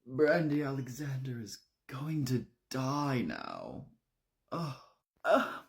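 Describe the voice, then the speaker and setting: A man voicing a vain, melodramatic bombshell woman who moans and swoons with drawn-out self-importance. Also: a little high, sing-song, pitched above the performer's own voice, full character voice. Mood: swooning misery.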